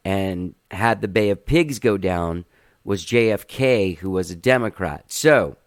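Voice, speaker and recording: A man's voice: low voice, measured pace, dry recording, clean studio sound. A man hosting a podcast in casual chat, talking loosely with plenty of filler words. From Cuban family. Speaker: podcast host.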